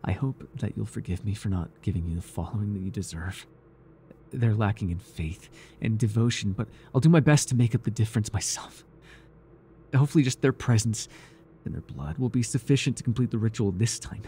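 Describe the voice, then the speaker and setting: A man playing a devoted, obsessive cult servant and captor in an intimate ASMR roleplay, speaking reverently and haltingly, with sighs and stammers. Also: lowish voice, animated, warm tone, a little hiss or hum. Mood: coaxing, apologetic.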